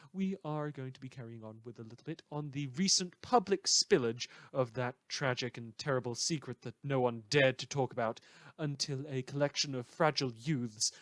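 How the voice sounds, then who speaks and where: A man's voice: mid-range voice, dry recording, natural voice. Theatrical, sardonic man acting as radio host. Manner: theatrical, sardonic, drawn-out emphatic phrasing. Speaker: radio news show host. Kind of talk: scripted satirical radio news monologue.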